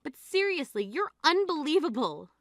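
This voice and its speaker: A woman's voice: a little high, animated, normal speed, bright, forceful tone, no echo, studio quality. A woman playing an exasperated, grieving sister who scolds in an incredulous, reproachful way in a dramatized story. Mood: shocked, indignant. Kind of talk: dramatized phone-call story dialogue.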